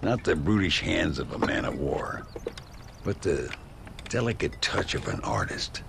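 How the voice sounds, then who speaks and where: Gravelly old Western gunslinger, boastful. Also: male voice, rumbling low, sing-song, background noise, exaggerated voice. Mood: grandiose. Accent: American Western. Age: older. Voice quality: gravelly, drawling. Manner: theatrical, halting, self-mythologizing. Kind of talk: video game cutscene acting.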